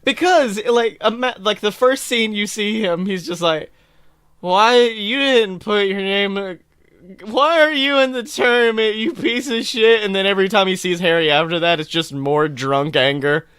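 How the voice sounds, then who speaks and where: A man's voice: high-pitched, moderate pace, clear, resonant tone, no echo, clean studio sound. A man hosting a podcast, chatting casually and ranting about things in an exasperated, sweary, comic way. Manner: animated, exasperated, sweary complaining. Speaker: podcast host. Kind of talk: casual chat, comic film rant.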